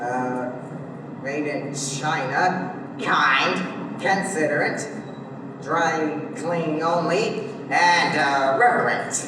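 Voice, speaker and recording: A man playing a dim, amiable badger in a children's stage comedy, halting and hesitant. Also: fairly high pitch. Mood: dopey, hesitant. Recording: noisy echoey hall from far off.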